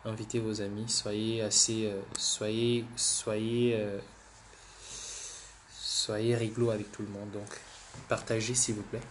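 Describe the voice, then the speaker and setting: A man's voice: lowish voice, flat delivery, slow pace, a little hiss or hum. A man who has the flu, hosting a political livestream, repeating himself, restarting words and urging viewers to share. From Gabon. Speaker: livestream host.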